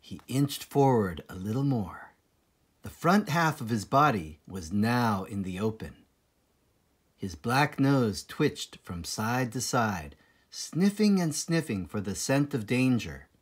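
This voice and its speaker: Slow, animated man reading a storybook. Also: medium pitch, breathy edge. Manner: dramatic, deliberate with suspenseful pauses. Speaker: read-aloud narrator. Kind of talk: expressive children's storybook reading.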